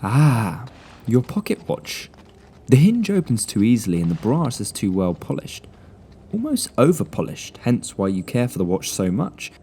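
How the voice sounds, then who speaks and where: Smug young male sleuth, cool and deliberate, lively inflection, read by a man. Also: medium pitch, slight background noise. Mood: deductive.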